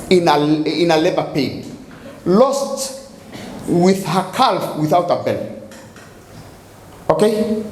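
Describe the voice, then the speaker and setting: A high-voiced Kenyan man talks a festival audience through his poem. He restarts and repeats words as he hunts for the right one. His voice rings out in a roomy, echoey space with faint noise underneath. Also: darkish tone. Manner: halting, repeats words while searching for them. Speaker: poet performing on a festival stage. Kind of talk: spoken explanation of poetry with recitation. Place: festival stage. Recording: echoey live stage with faint background noise.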